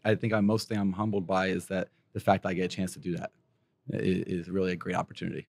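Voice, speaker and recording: A middle-aged man from Pennsylvania, a college basketball coach whose voice is raspy from coaching, answering interview questions modestly. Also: low voice, flat delivery, fast, very noisy. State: hoarse from coaching.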